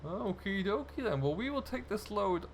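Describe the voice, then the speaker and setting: A man's voice: sits high, very animated, normal speed, vocal fry, a little hiss or hum. A man giving casual, chatty commentary as host of a role-play gaming video, thinking aloud in an easygoing way.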